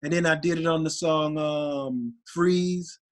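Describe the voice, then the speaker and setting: A man, a rapper guest, talking casually and excitedly in an interview, rambling with many restarts. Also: fairly high pitch, takes it slowly. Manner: animated, rambling, voice gets raspy when excited.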